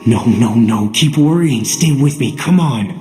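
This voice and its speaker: A man playing a gruff, sardonic but caring warrior hero in a video game, terse and blunt. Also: medium pitch, lively intonation, unhurried, dark tone, reverberant, soft background music, natural voice. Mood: anguished, tender. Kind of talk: video game cutscene acting.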